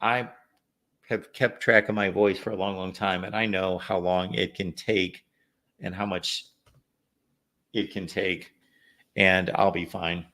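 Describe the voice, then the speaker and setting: A man hosting a live call-in sports show, a little raspy from no sleep, chatting through viewer comments and game analysis. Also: sits low, level tone, unhurried, pauses often, pushed and bright. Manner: reads viewer comments aloud, conversational analysis. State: slightly raspy from lack of sleep. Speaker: host.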